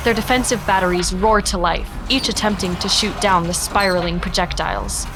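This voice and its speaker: A woman giving steady, descriptive narration for a sci-fi audio drama. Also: medium pitch, brisk. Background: music.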